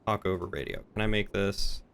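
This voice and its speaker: A man's voice: lowish voice, little pitch movement, fairly quick, fairly bright tone, slight background noise. A man chatting casually as he streams a game, often muttering half to himself.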